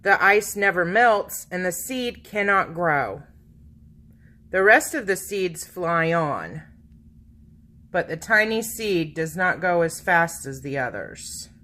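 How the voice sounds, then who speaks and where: A woman reads a picture book aloud for children in a bright, forward voice. Her pitch swings expressively while her pace stays measured, and she stretches key words for dramatic emphasis. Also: expressive. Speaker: children's storybook reader.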